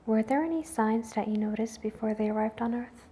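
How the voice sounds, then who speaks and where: A woman playing a composed official who interviews an invasion survivor, with soft, measured, polite questions in an ASMR roleplay. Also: a little high, husky. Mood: calm, businesslike.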